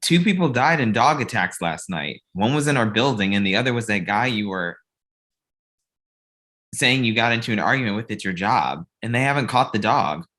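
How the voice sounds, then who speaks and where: A man's voice: on the low side, expressive, normal speed, sharp tone. A man playing an exasperated, worried roommate in a comedy script reading, casual and incredulous. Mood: serious. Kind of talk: comedic script table read.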